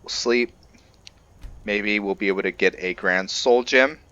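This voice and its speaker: A man's voice: on the low side, measured pace, pushed and bright, clean tone, highs cut off. A man doing casual let's-play roleplay commentary, musing to himself a little hesitantly over game ambience. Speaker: gaming video host and player.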